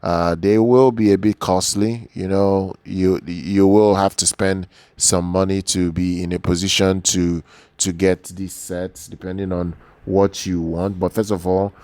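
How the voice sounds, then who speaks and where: A man giving an unscripted product overview as an audio gear reviewer, speaking haltingly and often repeating words and phrases. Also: deep voice, flat delivery, darkish tone, no echo.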